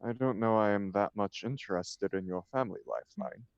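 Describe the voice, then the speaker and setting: A man's voice: lowish voice, fairly quick, creaky, no room sound, muffled recording. A man reading the part of a flippant, idle upper-class bachelor in a stage comedy, with an arch, mock-serious declamatory manner. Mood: haughty.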